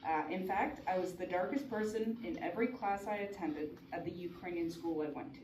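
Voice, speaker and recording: A woman's voice: on the low side, brisk. Woman speaking earnestly in echoey hall. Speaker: conference speaker. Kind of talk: prepared conference talk. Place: conference hall. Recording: echoey hall from far off, noisy.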